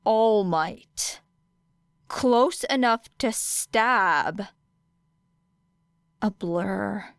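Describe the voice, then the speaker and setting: Female story narrator: very unhurried, crisp and resonant, deliberate phrasing, dry sound. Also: mid-range voice, no echo. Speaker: audiobook narrator. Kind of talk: scripted fiction narration.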